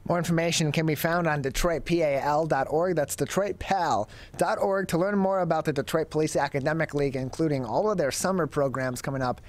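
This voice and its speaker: A man's voice: fairly high pitch, fairly quick, hardly any pauses. A young man hosting a broadcast interview show, speaking into a studio microphone. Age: young adult. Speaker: show host. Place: TV studio with curtain backdrop. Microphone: studio mic on a boom arm.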